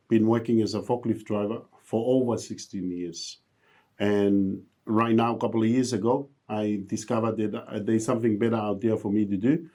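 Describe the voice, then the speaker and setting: A man's voice: lowish voice, warm tone. A middle-aged man from Tonga, speaking earnestly as a podcast guest and motivational speaker, his English grammar uneven. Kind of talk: podcast interview.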